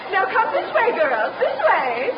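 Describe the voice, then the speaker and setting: A woman's voice: very high voice, wildly swooping pitch, unhurried, highs cut off, over a noisy crowd, exaggerated voice. A woman playing a prim, fussy middle-aged spinster chaperone, easily flustered, in a 1930s radio drama on an old, noisy recording. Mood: bright, officious. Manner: affected, schoolmistress-like, indignant.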